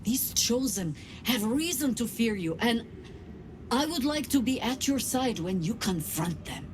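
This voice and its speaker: A woman voicing a seasoned older adventurer in a video game, steadfast and resolute, speaking in a measured, earnest and grave manner. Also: fairly high pitch, animated, noisy background. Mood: encouraging. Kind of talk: video game voice acting, dramatic dialogue.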